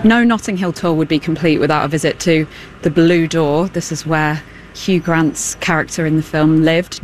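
A woman's voice: on the low side, slight background noise. A woman working as a field reporter, talking to people on a city street.

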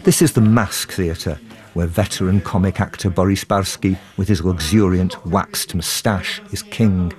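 A man's voice: low voice, fairly even intonation, darkish tone. A male radio reporter reading scripted narration and putting short questions to people in the field. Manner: measured, reads narration then asks short pointed questions.

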